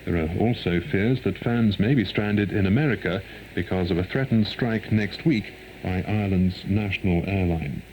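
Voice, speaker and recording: A man reads a formal, measured world news bulletin, heard over shortwave radio. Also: deep voice, dull audio. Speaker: radio newsreader. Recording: noisy 1990s shortwave radio reception.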